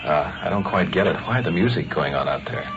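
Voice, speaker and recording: A man's voice: low voice, lively intonation, brisk, music in the background. An American man reporting on the scene as host of a 1950s radio police documentary. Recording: dull, muffled 1950s radio field recording.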